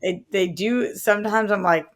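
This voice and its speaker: A woman's voice: mid-range voice, normal speed. A woman co-hosting a casual movie podcast, hedging her opinions conversationally and often quoting her own thoughts. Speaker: podcast co-host.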